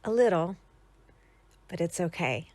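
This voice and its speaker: A woman playing a devoted, lovestruck teenage girl, tender and softly resolved in a motion comic drama. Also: fairly low pitch, expressive, no echo, clean studio sound. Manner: slow, tender.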